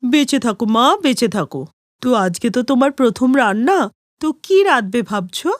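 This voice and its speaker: A woman's voice: a little high, lively intonation, no room sound, clean studio sound, close to an everyday voice. A woman voicing a middle-aged village mother in a cartoon, fond and bustling with a mildly scolding manner. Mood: brisk, motherly. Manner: fond, brisk, chiding.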